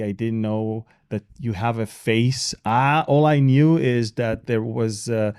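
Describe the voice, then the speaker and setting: Podcast host man with a dark mellow tone. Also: medium pitch, no room sound, clean studio sound. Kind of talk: casual interview.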